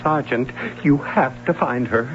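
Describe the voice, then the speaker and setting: A man's voice: medium pitch, unhurried, fairly bright tone, faint music behind, natural voice. An American actor playing a worried, testy father of a missing daughter in an old-time radio drama. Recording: dull, noisy old radio recording with hum.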